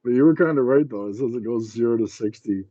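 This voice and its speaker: Husky dark-voiced guy on a dull mic, laughs a lot, reads stuff off the screen. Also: mid-range voice, fast, dry recording. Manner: laughs easily, reads facts aloud. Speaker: podcast co-host. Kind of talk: casual chat.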